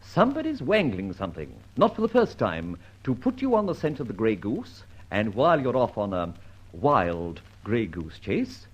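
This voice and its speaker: Suave British gentleman sleuth, swooping delivery. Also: fairly low pitch, wildly swooping pitch, moderate pace, breathy edge, exaggerated voice. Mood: affable, intrigued. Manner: teasing, droll, urbane. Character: suave, flippant gentleman adventurer with a secret identity. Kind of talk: old-time radio detective drama acting. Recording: dull, noisy old radio recording.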